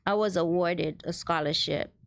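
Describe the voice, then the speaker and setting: Middle-aged woman from Haiti, lowish voice, earnest guest, dry mic. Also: steady tone, moderate pace, vocal fry, dry recording. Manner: earnest, many restarts and "so therefore". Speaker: guest, transit ambassador. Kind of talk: casual interview chat.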